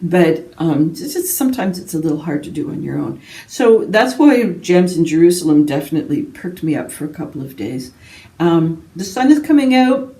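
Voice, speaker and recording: A woman's voice: deep voice, very animated, normal speed, mellow. A woman from Canada chatting casually and ramblingly to her viewers as a book vlogger, in a self-deprecating way. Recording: roomy echo, distant microphone.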